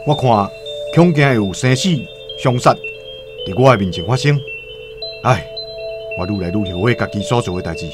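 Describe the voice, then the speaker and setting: An actor playing a shrewd, self-serving Han trader, calculating and wary of trouble, with a Taiwanese accent in a radio drama. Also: male voice, on the low side, expressive, normal speed, pauses often, lightly acted. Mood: anxious, regretful. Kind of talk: radio drama dialogue and first-person storytelling. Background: music.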